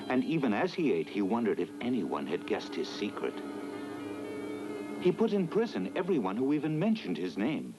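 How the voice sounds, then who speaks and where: Low-voiced, animated male storyteller. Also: low-quality recording. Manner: dramatic storytelling. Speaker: narrator. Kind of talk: scripted fairy-tale narration. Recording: music behind the voice.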